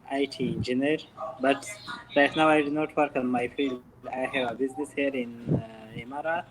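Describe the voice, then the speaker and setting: A man's voice: mid-range voice, low-quality recording. Hesitant man from Afghanistan. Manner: hesitant, many fillers. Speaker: student. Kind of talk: self-introduction in class. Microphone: video call.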